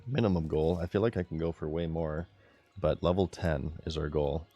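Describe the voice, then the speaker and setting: A man with a very low, deep voice talks casually through a game stream, giggly and relaxed as he chats with viewers. Faint music plays behind him. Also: moderate pace, muffled recording. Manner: giggly, laid-back, chatting to viewers while playing.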